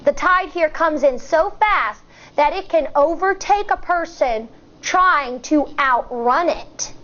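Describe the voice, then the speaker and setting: High-pitched woman teacher reading slowly to children. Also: lively intonation, fairly bright tone. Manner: deliberate, explanatory, addressing young children. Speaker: elementary school teacher reading aloud. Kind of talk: children's read-along of a nonfiction picture book with explanatory asides. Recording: dull, muffled lo-fi sound.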